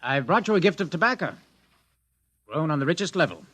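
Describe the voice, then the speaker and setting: A man's voice: fairly high pitch, big pitch swings, a little fast, heavy background noise. An actor playing an earnest, respectful young male cadet in a 1950s radio drama. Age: young adult.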